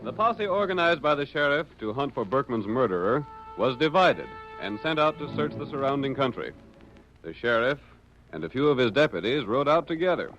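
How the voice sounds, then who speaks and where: A man's voice: medium pitch, big pitch swings, fairly quick, soft background music. A man delivering scripted, dramatic narration in vintage radio style. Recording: dull old radio recording.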